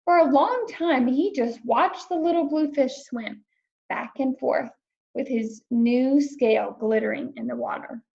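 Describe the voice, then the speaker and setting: A woman narrates a children's story with clear, expressive delivery. Her voice sits high with some vocal fry. The recording is dull and lo-fi. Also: unhurried. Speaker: storybook reader. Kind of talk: children's read-aloud narration.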